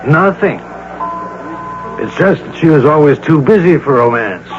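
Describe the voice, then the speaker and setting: A man's voice: a little high, lively intonation, unhurried. An actor playing a frank, matter-of-fact male office confidant in a radio drama, with a plain-spoken American delivery. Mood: frank, amused. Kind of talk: old-time radio drama acting. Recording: dull radio recording with music behind.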